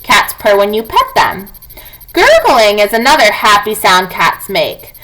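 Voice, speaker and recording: A woman teacher reading non-fiction aloud to young students in an expressive, clearly enunciated, instructive way. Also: medium pitch, a little slow, rough recording.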